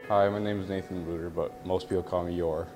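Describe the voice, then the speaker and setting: A man's voice: low-pitched, steady tone, a little fast. A young man born in Thailand, speaking casually about growing up. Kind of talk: personal interview testimony. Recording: music bed under the voice.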